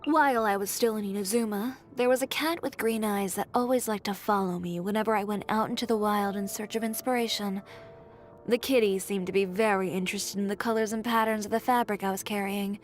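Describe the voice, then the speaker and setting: A self-assured young woman designer from a video game, performed by an actress, with a medium-pitched voice. She is blunt and unbothered, delivering her lines coolly and matter-of-factly with a dry, exasperated edge. Music plays behind her. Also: fairly even intonation, a little fast, close to an everyday voice. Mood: reflective. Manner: cool, matter-of-fact, dryly exasperated. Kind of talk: scripted character voice lines. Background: background music.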